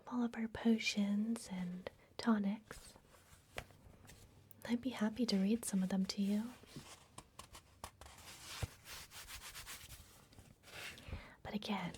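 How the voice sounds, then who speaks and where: A woman playing a secretive, soothing vampire librarian in a fantasy ASMR roleplay, speaking in a soft, breathy whisper close to the microphone. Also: mid-range voice, a little hiss or hum, close to an everyday voice. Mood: secretive, welcoming. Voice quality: breathy, mostly unvoiced whisper. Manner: soft, gentle, unhurried, confiding.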